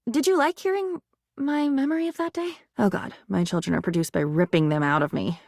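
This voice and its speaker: A woman playing a living diary in a video game, a curious, quirky and excitable character who muses aloud in a chatty, theatrical, whimsical way. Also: medium pitch, brisk, creaky, dry recording, studio quality. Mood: playful musing.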